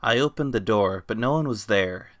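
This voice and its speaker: A man's voice: lowish voice, lively intonation, normal speed, fairly bright tone, no echo, studio quality. A man giving a dramatic reading of fan fiction as its narrator. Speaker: author reading own story.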